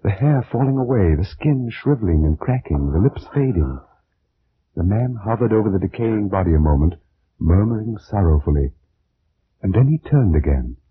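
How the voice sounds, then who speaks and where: An actor playing an earnest, easily startled student of Egyptology, in precise, dramatic 1940s radio style. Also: male voice, on the low side, expressive, normal speed, natural voice. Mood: horrified narration. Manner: dramatic storytelling, precise, stammers when flustered. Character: earnest, startled gentleman student of Egyptology, narrating his adventure. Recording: muffled, noisy vintage radio recording.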